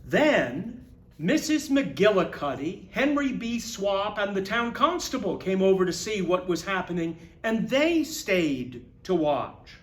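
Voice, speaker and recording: A man reading a children's picture book aloud to young listeners in an expressive, emphatic storyteller's manner. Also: high voice, takes it slowly, roomy sound. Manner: expressive, emphatic, explains words in asides.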